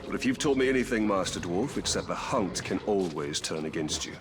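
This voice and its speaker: A man's voice: deep voice, lively intonation, brisk. A man playing a stern, resolute ranger captain in a video game, speaking formally and with dry wit. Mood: grave. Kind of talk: video game character acting. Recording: distant miking, loud game ambience noise.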